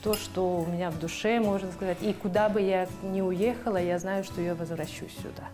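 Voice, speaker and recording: A woman's voice: on the low side, fairly even intonation, fairly quick. A young woman from Yakutia, a guest on a TV cooking show, chatting casually and a little hesitantly with many fillers. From Yakutia, Russia. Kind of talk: casual chat while cooking. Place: TV studio kitchen. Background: music.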